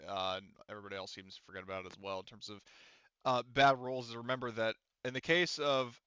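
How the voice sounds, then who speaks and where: A man with a bright, forceful and smooth voice runs a tabletop game. He explains things casually and wanders a little as he goes. The audio is close and dry, slightly muffled like voice chat. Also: mid-range voice, no room sound. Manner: rambling, explanatory, casual swearing. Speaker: game master.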